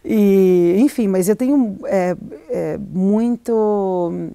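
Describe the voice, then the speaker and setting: Soft-toned woman recalling her past. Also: mid-range voice, very slow, vocal fry, no echo. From Argentina. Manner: hesitant, many restarts and fillers, laughs often. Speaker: novelist, interview guest. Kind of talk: reflective interview answers.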